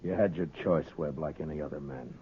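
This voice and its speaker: An actor playing a stern, commanding American frontier marshal who gives terse orders in a 1950s radio western. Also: male voice, a bass voice, a little fast, breathy edge, full character voice. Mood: grim, subdued. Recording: tinny, noisy 1950s radio recording.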